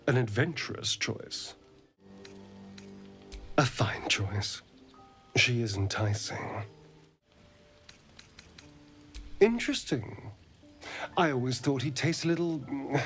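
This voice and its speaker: A sly, refined vampire flirt from a video game. He speaks slowly in a theatrical, teasing drawl, his pitch swooping widely and sometimes dropping into breathy asides. Faint music plays behind him. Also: fairly bright tone. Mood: intrigued, purring. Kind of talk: video game companion dialogue.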